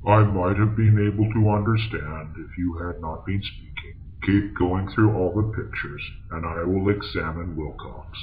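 A man playing a grave, exasperated, sceptical doctor with a formal, deliberate manner in an old-time radio drama. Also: low voice, narrow, tinny audio, a little hiss or hum.

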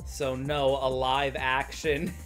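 A man's voice: mid-range voice, completely flat delivery, slow pace, fairly bright tone, faint music behind. A man in his thirties giving casual, joking, sweary commentary while playing a game. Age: 30s. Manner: crude, swearing. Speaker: gaming video host.

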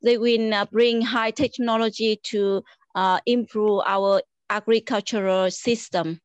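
A woman's voice: medium pitch, fairly even intonation, measured pace, no room sound. A woman in her forties with a Vietnamese accent, talking over a video call in a polite, hesitant way with many fillers. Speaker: guest. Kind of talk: casual video call chat. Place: small room indoors. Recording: dull lo-fi call audio.

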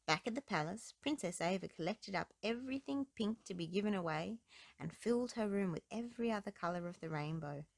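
A woman's voice: medium pitch, expressive, brisk, vocal fry, dry recording. A woman narrating children's stories and rhymes aloud as a storybook reader, recorded close up indoors.

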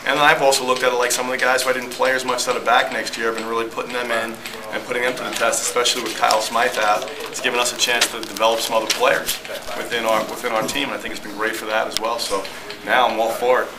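A man's voice: medium pitch, level tone. A middle-aged man, a basketball head coach, giving matter-of-fact answers at a postgame press conference. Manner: quick, matter-of-fact explanations. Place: press room in front of a team backdrop. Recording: noisy press room audio.

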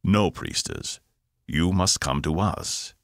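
Narrator as a measured alien envoy: very deep, gravelly, slow and formal. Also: male voice, huge pitch swings, dry recording, studio quality, heavily acted. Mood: solemn. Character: solemn male alien in exoskeleton, formal.

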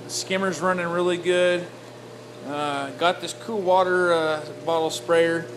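A man with a fairly high voice talks off the cuff while filming his home reef tanks, stopping often to fill gaps with "uh". He records on a handheld camera, and a clear noise sits under his voice in the recording, with an air conditioner humming behind him. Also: steady tone, unhurried. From Oregon. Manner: rambling, lots of "uh" fillers. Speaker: reef aquarium hobbyist. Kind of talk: casual walkthrough vlog. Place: home reef tank setup, indoors. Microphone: handheld camera, off-screen.

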